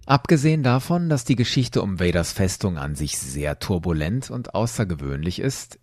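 Male podcast host: low voice, animated intonation, emphatic scripted storytelling, dry close sound. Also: dry recording. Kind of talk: scripted explanatory narration with casual asides.

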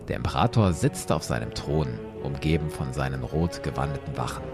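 A man narrating a dramatic story as host, expressive and addressing listeners directly. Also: a bass voice, fairly quick, loud music behind the voice.

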